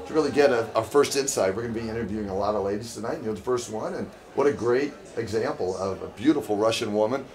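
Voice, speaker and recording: A man's voice: on the low side, quick pace, hardly any pauses. A man hosting a live-streamed interview, talking enthusiastically to his viewers. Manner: enthusiastic, salesy, addresses viewers as guys. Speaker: host. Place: indoor party venue.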